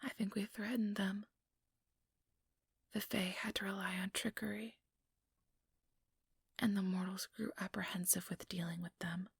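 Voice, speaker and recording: A woman voicing an ambitious, manipulative female vampire in a breathy, measured whisper for an ASMR role-play, close to the microphone. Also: mid-range voice, steady tone, dry recording, full character voice. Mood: measured storytelling. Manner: measured, condescending, persuasive.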